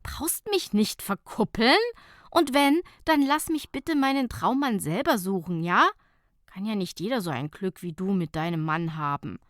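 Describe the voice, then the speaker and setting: A woman's voice: mid-range voice, expressive, measured pace, fairly bright tone, no room sound, studio quality. A woman voicing an embarrassed, mock-indignant woman who is sceptical about men, in an audiobook. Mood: mildly indignant. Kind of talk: audiobook character dialogue.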